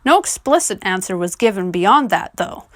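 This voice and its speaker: A woman's voice: mid-range voice, lively intonation, moderate pace, fairly bright tone, no echo, studio quality. A woman delivering scripted video essay commentary in an articulate, questioning manner.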